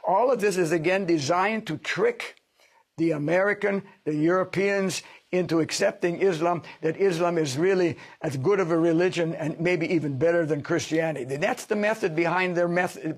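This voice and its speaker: A man's voice: a little high, moderate pace. A man being interviewed as a sociologist, explaining at length in an emphatic, lecturing way. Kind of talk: studio interview.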